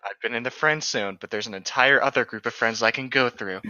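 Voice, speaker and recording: A man's voice: mid-range voice, fairly quick, bright, forceful tone, clean tone, no room sound. A young man in casual joking chat, blunt and given to exasperated banter, over a lo-fi voice-call connection. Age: young adult.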